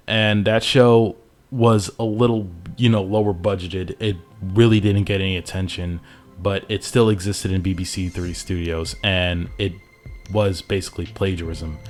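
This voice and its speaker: A man giving informal, conversational commentary as a reviewer in a loosely scripted video essay. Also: low voice. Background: background music.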